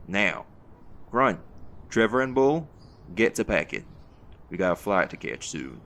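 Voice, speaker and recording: A man playing a seasoned, folksy leader of a hunt in an audio roleplay, gravelly and reassuring, with a fond teasing streak. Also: lowish voice, sing-song, a little slow, a bit bright, full character voice. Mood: brisk.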